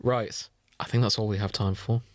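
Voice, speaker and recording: A man's voice: on the low side, steady tone, normal speed, no echo, clean studio sound. A man co-hosting a podcast in casual chat, teasing and swearing freely. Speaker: podcast co-host.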